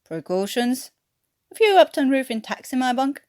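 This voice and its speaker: A woman plays a bewildered guard sergeant in a wartime story. He sounds puzzled and jumpy, his voice leaping upward in animated, flustered questions. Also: high voice, normal speed, voice pushed up. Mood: alarmed, flustered. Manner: puzzled, flustered, stammering when startled.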